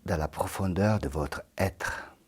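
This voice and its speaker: A man leading a guided yoga nidra meditation in a soft, calm voice that often drops into a half-whisper. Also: sits low, slow, breathy edge.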